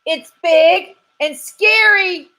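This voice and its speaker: A woman voicing a twitchy, timid male squirrel who is scared of everything, with drawn-out, quavering cries. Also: very high-pitched, pushed and bright, voice pushed up, heavily acted.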